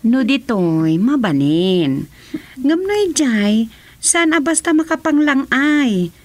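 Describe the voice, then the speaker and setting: A woman's voice: high-pitched, big pitch swings, takes it slowly, no echo, exaggerated voice. A woman playing a concerned, sensible family member in a radio drama about village life. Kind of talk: radio drama dialogue in Ilocano.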